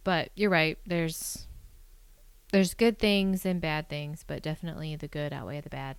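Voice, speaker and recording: A woman's voice: deep voice, fairly even intonation, normal speed, clear, resonant tone, dry recording. A woman in her thirties, a podcast guest, chatting casually in a dry, joking, matter-of-fact way. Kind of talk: casual chat.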